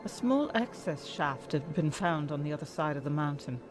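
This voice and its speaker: A man's voice: on the high side, animated, normal speed, music in the background, lightly acted. A man playing a composed, capable Jedi who recounts a past mission in dramatic scripted comic dub narration.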